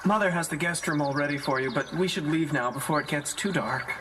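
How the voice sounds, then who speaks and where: A performer playing a practical young local in an audio drama, speaking briefly and matter-of-factly with calm reassurance. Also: mid-range voice, brisk, background noise, close to an everyday voice. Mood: polite. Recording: slightly distant, loud music over voice.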